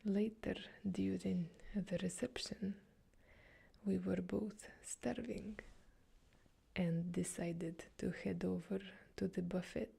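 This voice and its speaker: A woman softly whispering a string of jokes as a calm ASMR reading. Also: fairly low pitch, slow pace, gravelly, breathy edge. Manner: soft whisper throughout. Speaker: joke reader.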